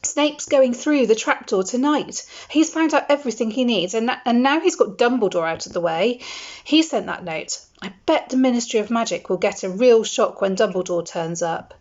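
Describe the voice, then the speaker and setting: A woman's voice: on the high side, lively intonation, moderate pace, a little mellow. A woman voicing an earnest, determined schoolboy wizard who speaks urgently, on a dull lo-fi recording. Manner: urgent, pressing.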